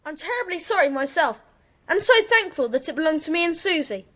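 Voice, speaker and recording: A woman's voice: extremely high pitch, sing-song, a little fast, sharp tone, full character voice. A woman playing an earnest schoolboy detective in a children's audio drama.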